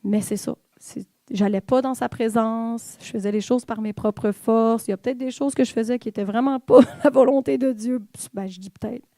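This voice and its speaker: A woman's voice: on the high side, steady tone, moderate pace, few pauses, warm tone, no room sound. A woman with a Québécois French accent giving an informal, conversational sermon in church, with fillers and the odd whispered aside. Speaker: church speaker.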